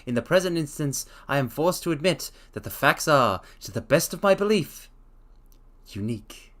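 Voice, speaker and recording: A man's voice: a little high, very animated, normal speed, fairly bright tone. A man voicing a confident male Victorian detective who speaks in a precise, lecturing way. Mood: assured.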